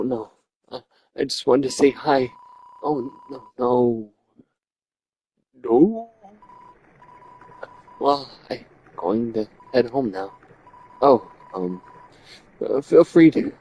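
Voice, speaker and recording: A man's voice: medium pitch, darkish tone, slight background noise, poor audio. A man doing a shy, stammering lonely guy. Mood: timid. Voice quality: put-on accent. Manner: hesitant, stammering, self-effacing. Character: shy lonely apologetic man eager for company. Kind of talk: reading game dialogue aloud.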